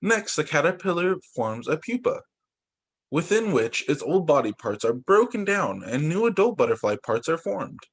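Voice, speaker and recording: A man's voice: a little high, sing-song, moderate pace, slightly rough. A young man, a teacher, reading picture books aloud to children over a video call and breaking in with little asides and exclamations. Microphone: computer mic on a video call.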